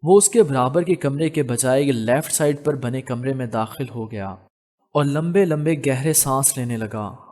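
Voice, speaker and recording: A man's voice: medium pitch, a little slow, a little mellow. A man narrating a romantic novel as a scripted, dramatic audiobook reading. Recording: long hall reverb on voice.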